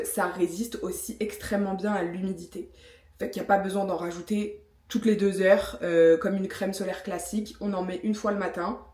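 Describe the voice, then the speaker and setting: A woman's voice: mid-range voice, dark tone. Chatty French woman in an echoey room. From France. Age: 30s. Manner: chatty, self-mocking, many fillers. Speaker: vlogger. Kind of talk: casual vlog talk with product review.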